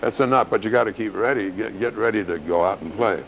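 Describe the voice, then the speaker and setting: Older man, low voice, rambling. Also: quick pace, fairly bright tone, a little hiss or hum, rough recording. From New York City. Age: 70s. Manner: rambling, anecdotal, self-deprecating. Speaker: guest musician, clarinetist. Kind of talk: casual interview.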